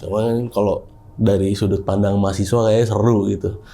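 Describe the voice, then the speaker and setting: A young man hosting a casual horror-story podcast interview in a studio, chatty and relaxed. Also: fairly low pitch, normal speed, vocal fry. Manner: relaxed, chatty, uses Jakarta slang. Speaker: podcast host.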